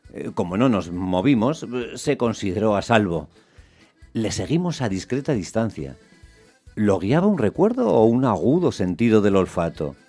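An actor narrating a radio play as a measured storyteller, in a deliberate, literary, descriptive way. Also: male voice, on the low side, darkish tone. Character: measured male storyteller, wryly observing a small dog.

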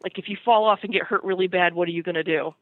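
A woman's voice: lowish voice, fairly even intonation, fast talker, no echo, narrow, tinny audio. A middle-aged woman telling personal stories casually on a podcast, candid and self-deprecating, over thin call audio. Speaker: podcast segment host.

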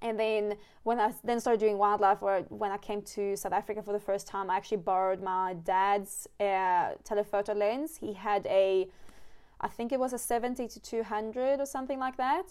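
A woman's voice: medium pitch, steady tone, normal speed, darkish tone, smooth. A woman from Sweden, a Swedish native speaker, hosting a casual podcast chat, talking loosely with lots of fillers and restarts. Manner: chatty, many fillers and restarts. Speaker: podcast host.

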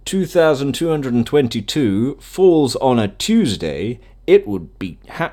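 A podcast host talking casually to listeners at an unhurried pace. The delivery is rambling and self-mocking, prone to giggles, with lively swings in pitch. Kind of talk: casual, improvised podcast chat.

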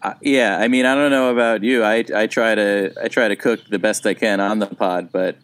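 A man's voice: lowish voice, steady tone, moderate pace, fairly bright tone. A man in his thirties, a comedian co-hosting a podcast, talking casually and thinking aloud with frequent restarts.

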